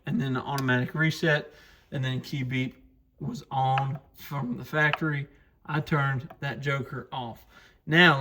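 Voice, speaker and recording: A man's voice: medium pitch, fairly bright tone. A man hosting an informal, off-the-cuff product review, thinking aloud as he goes. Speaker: host of a radio shop's review channel. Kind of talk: casual hands-on product review.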